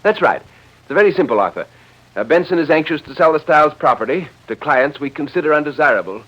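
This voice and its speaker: A man's voice: a little high, lively intonation, fast talker, fairly bright tone, pitched above the performer's own voice. Vintage radio drama, guy playing stiff formal chairman type, evasive, hesitant, dull sound. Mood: eager, formal. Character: stiff, formal meeting leader, evasive and resentful. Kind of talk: 1940s radio drama acting. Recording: dull old radio recording.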